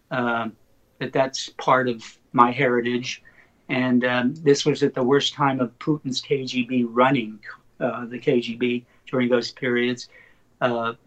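A man's voice: medium pitch, highs cut off. Older man, storytelling poet. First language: Slovak. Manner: rambling, animated when telling stories, frequent restarts. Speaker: poet, interview guest. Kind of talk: conversational storytelling interview.

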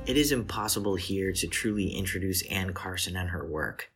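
A man in his forties reading scripted literary text and poetry in measured, deliberate phrases with pauses. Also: deep voice, animated, music in the background. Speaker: poet reading selected poems.

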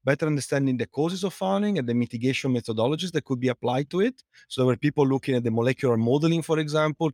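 A man's voice: mid-range voice, a little mellow, no echo. Italian-accented man, hesitant and dry. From Italy. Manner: hesitant, many fillers and repeated words. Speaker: guest, fouling researcher and company co-founder. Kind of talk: interview answers.

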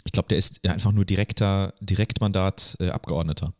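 A man's voice: low-pitched, steady tone, a little fast, no echo, clean studio sound. A man co-hosting a podcast in a casual, chatty way. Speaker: podcast co-host.

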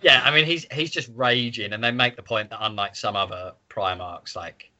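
A man talks casually as a podcast host discussing a book. His voice is bright and punchy, and his manner is irreverent and sweary. The audio is a little dull and lo-fi. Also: lowish voice, moderate pace.

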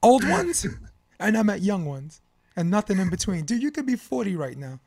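A man's voice: sits high, big pitch swings, normal speed, vocal fry, a crowd in the background. An American man in his fifties hosting a podcast in casual chat, with a slangy, joking way of rambling into advice. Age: 50s. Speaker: podcast host.

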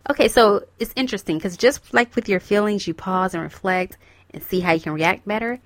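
A woman's voice: medium pitch, brisk, a bit bright, no echo. A young woman getting over a cold, talking casually and encouragingly straight to her listeners as a host. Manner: encouraging, chatty, addresses listeners directly. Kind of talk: casual self-help talk.

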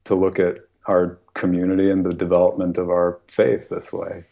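A man from the United States speaks in a very low, warm and mellow voice. He talks earnestly and thoughtfully, with frequent soft laughs and plenty of "you know". It sounds like a relaxed podcast conversation. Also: normal speed, gravelly. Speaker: guest author.

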